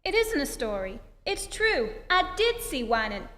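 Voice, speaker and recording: A woman's voice: sits high, very animated, measured pace, fairly bright tone, exaggerated voice. A woman playing a defensive, lying witness, protesting shrilly in an old-time radio melodrama. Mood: indignant. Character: defensive, indignant female witness who lies for money. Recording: echoey reverberant hall.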